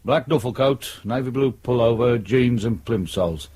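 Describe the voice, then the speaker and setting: An actor playing a sardonic, ambitious British detective sergeant in a radio crime drama, with a dry, blunt manner. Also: male voice, mid-range voice, normal speed, darkish tone, breathy edge, natural voice. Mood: dry, wry.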